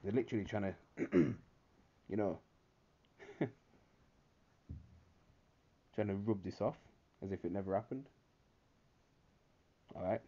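A man's voice: on the low side, takes it slowly, long pauses, warm tone, lo-fi sound. A man giving preachy religious commentary over a screen recording, checking in with "all right?" over and over. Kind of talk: screen-recorded commentary reading articles and scripture.

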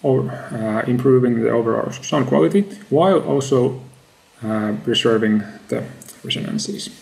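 A man in his thirties with a creaky voice gives a measured, matter-of-fact research presentation in halting phrases. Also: mid-range voice, darkish tone, poor audio. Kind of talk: scripted academic slide presentation.

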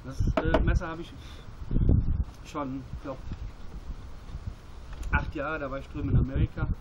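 A man's voice: a little high, fairly even intonation, measured pace. A middle-aged man chats casually and bluntly, giving a hands-on gear review in colloquial, mildly profane words. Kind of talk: casual hands-on product talk. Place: outdoors at a table by a metal-walled building. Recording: muffled outdoor recording, loud traffic noise, clicks. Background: loud passing vehicle noise, clicking of handled items.

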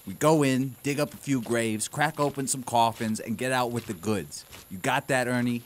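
Gruff, bossy male petty crook , acted by a man, mid-pitched, impatient, audio theater, slight noise. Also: normal speed, lightly acted. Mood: bossy, scornful. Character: bossy, irritable male small-time crook. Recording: faint background noise.

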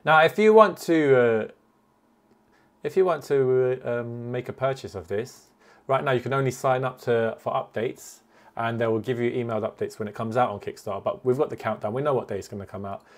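A man gives a casual, conversational commentary in a mid-pitched voice. He thinks aloud as he goes, like an informal video host walking viewers through a product. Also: a little fast. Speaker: flight sim video host. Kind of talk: casual product commentary over a screen recording.

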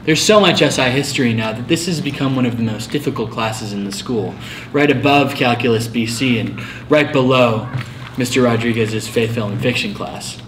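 A man's voice: mid-range voice, normal speed, a bit bright, slight background noise, lightly acted, poor audio. A man acting as a theatrical, pompous school elder with a British accent in an amateur comedy skit. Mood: grandly chatty. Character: elder, undead former student cursed to answer history questions.

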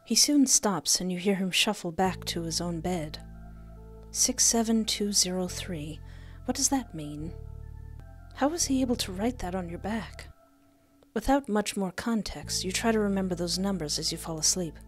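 A playful, expressive woman doing a let's play, reading game text aloud and commenting, close on a desk microphone. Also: medium pitch, moderate pace. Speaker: gaming streamer. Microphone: close desk microphone with headset. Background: game music.

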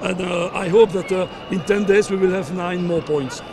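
Halting older man coach, high-pitched. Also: steady tone, gravelly, crowd noise. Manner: halting, many fillers and repeats, non-native phrasing. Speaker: football coach. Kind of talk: post-match press interview. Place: outdoors at a sponsor backdrop, surrounded by reporters. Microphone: cluster of handheld reporter mics and phones. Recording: noisy outdoor press scrum.

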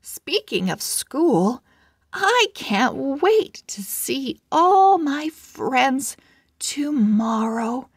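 A cheerful young storybook owl girl, voiced by a woman shares her diary. She speaks very slowly, stressing words with big animated swings in pitch. She is chatty and emphatic throughout. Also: breathy edge, no room sound, clean studio sound, exaggerated voice.

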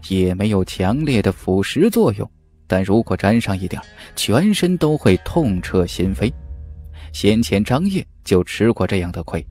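A man narrating a fantasy novel audiobook in an animated, dramatic storytelling manner. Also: medium pitch.

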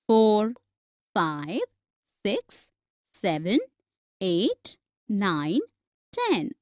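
A woman narrating a slow, scripted math lesson for young children, counting objects aloud step by step. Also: medium pitch, a little mellow, no echo, studio quality. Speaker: teacher.

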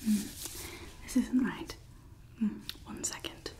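A woman's voice: medium pitch, slow, mellow, close to an everyday voice. A woman voicing a doting, sinister female evil scientist in an ASMR roleplay, mixing fawning praise with offhand menacing asides. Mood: clinical. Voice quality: whispered. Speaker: ASMR performer.

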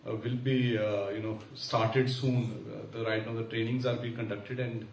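A man with an Indian English accent giving a formal, measured speech at a conference, pausing with fillers. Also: medium pitch, little pitch movement. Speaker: senior official giving keynote. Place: large conference hall. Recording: echoey hall from far off, faint hiss.

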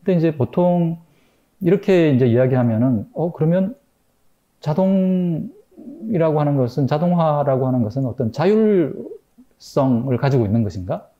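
A man in his forties giving a public lecture from a lectern, thoughtful and hesitant with many fillers and pauses. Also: on the high side, expressive, muffled recording. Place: gallery lecture room with projector. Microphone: microphone stand at lectern.

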